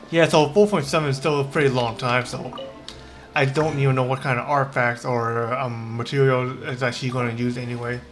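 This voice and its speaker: A man talks casually on a game stream at a middling pitch, with music playing behind him. His delivery rambles and halts, circling back to repeat phrases as he goes. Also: fairly even intonation. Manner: rambling, halting, repeats himself. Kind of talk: casual livestream chat.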